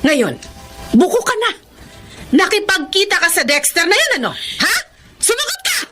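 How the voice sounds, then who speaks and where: A woman's voice: extremely high pitch, huge pitch swings, normal speed, pushed and bright, soft background music, exaggerated voice. An actress playing a stern, fiercely protective middle-aged mother in Filipino melodramatic radio drama style. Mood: angry, scolding.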